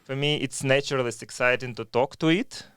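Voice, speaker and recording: A man with phrasing, a telecom expert explaining in measured tones on a podcast. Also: medium pitch, a bit bright, clear, resonant tone, dry recording, studio quality. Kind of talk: conversational podcast discussion.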